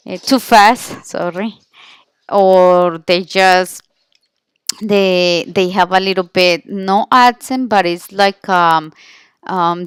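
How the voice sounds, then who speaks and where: Female student presenter, Colombian Spanish accent, slow and halting English, clean smooth tone, close dry sound. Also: mid-range voice, no echo. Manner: halting, searching for words, self-correcting. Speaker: language student presenting an interview. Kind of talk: informal spoken class presentation.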